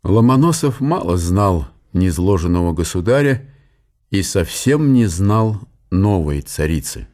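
A man's voice: low-pitched, big pitch swings, measured pace, a little mellow. A man narrating a historical radio play as scripted literary storytelling.

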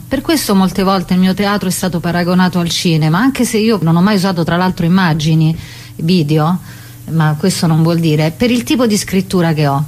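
A woman's voice: fairly low pitch, a little mellow. A woman interviewed as a playwright and actress, explaining conversationally and emphatically, rephrasing and piling up short phrases.

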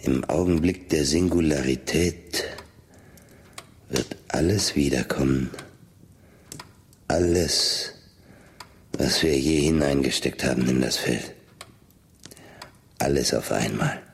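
Very deep, husky, resigned doomsayer. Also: male voice, full character voice. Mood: grim, dry. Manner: deliberate, dry, resigned. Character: weary, sardonic older man foretelling a catastrophe. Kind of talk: German radio drama acting, slow monologue.

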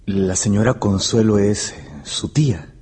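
A man's voice: lowish voice, a little slow, dark tone, husky. An actor playing a polite, hesitant young man with a deferential manner in a radio drama. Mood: uneasy, polite.

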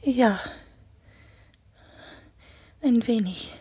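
A young, sickly gentlewoman in a German gothic radio drama. Her voice is high and breathy and she takes her time, sounding languid and melancholy in a very dry studio sound. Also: very slow, no room sound, heavily acted. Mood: languid, subdued. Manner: hushed, languid delivery. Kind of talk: German radio play acting and first-person narration.

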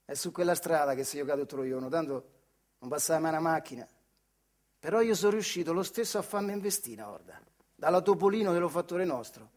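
A man's voice: fairly high pitch, animated, fast talker, a little mellow, close to an everyday voice. A male actor with a Marche accent from central Italy playing Adriano, an earthy, wry factory worker who tells his memories in a colloquial theatrical monologue. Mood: wry reminiscence. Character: Adriano, working-class old factory man telling his childhood memories. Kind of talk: theatrical monologue storytelling in dialect-tinged speech.

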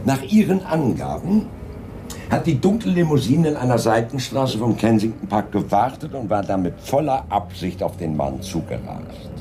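A man playing a dry, composed, persistent police inspector in a radio crime play, speaking with measured, polite insistence. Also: medium pitch, very animated, dark tone, a little hiss or hum, close to an everyday voice. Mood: serious, insistent. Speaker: actor in a radio crime drama. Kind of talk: 1980s German radio play acting.

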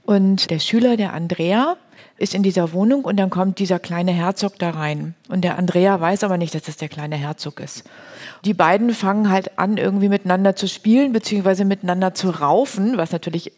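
A woman's voice: lowish voice, a little fast, a little mellow. A woman explaining and retelling in an informal, colloquial, plain-spoken way, as a host in conversation.

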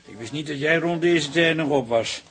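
An actor playing a tired, blunt, bewildered husband in a radio drama, middle-aged. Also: male voice, fairly high pitch, normal speed, a bit bright, slightly rough. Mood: grumpy, dry. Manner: blunt, grumbling, tactless.

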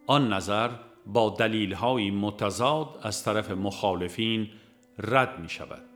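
A man reading a religious audiobook in a measured, formal and deliberate scripted narration. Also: lowish voice, a bit bright. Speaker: audiobook reader.

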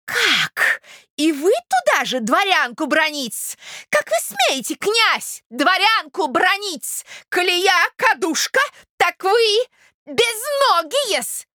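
Audiobook part: touchy provincial gentlewoman, shrill high voice, drawn-out indignant delivery. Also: sing-song, no room sound, studio quality, exaggerated voice. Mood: outraged, shrill. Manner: screechy, affronted, servile -s word endings. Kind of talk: dramatised literary audiobook.

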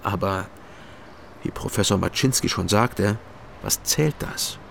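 An actor playing a male former detective who narrates his own story in a measured, dry way, in a radio drama. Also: fairly low pitch, gravelly, a little hiss or hum. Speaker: first-person narrator and detective. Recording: radio play over loud music score.

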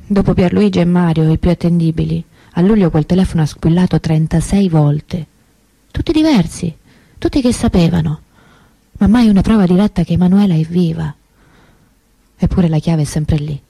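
Mellow close dry voice, young woman missing her sister, confiding and halting, radio play. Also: lowish voice, gravelly, breathy edge, no room sound, rough recording. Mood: tense, weary. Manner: confiding, hesitant, conversational with pauses and repetitions. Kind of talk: intimate radio drama monologue.

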